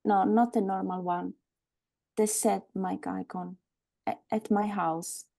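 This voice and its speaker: A frank, composed woman with an undercurrent of unease, played by an actress at a live table read of a film script. Her tone is mellow and relaxed at a medium pitch. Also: takes it slowly, creaky. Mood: uneasy, respectful. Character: earnest, frank warehouse woman named Aoife, uneasy but composed.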